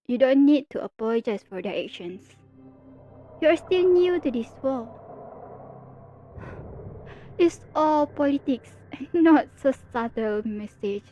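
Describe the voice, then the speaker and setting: A woman's voice: sits high, expressive, normal speed, lo-fi sound, faint music behind. A woman performing an ASMR roleplay as a teasing female villain talking to her rival hero. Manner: teasing, playful, confiding.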